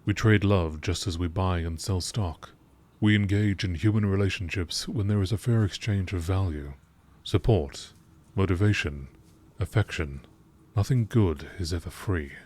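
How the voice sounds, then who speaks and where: Low-pitched man narrating, documentary style. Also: normal speed, darkish tone. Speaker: narrator reading game lore entries.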